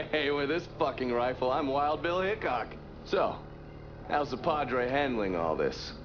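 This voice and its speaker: A man's voice: mid-range voice, lively intonation, measured pace, close to an everyday voice. Man as wry American operative, muffled, noisy. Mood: wry, teasing. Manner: wry, needling, talkative. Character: cynical, wry male war-veteran intelligence man. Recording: muffled, with loud vehicle noise.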